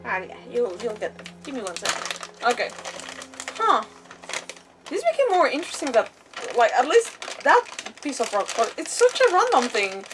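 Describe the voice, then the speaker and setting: High, lively woman game streamer. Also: moderate pace, long pauses, distant mic. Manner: playful, chatty. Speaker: game livestreamer. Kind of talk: casual livestream chat, reacting to a game. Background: faint game music.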